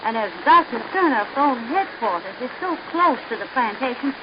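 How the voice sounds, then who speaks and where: Young woman, teasing and self-assured, very lively intonation, old radio melodrama, noisy recording. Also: high voice, normal speed, highs cut off. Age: young adult. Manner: coy, playful, theatrical. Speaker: actress. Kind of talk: old-time radio drama acting.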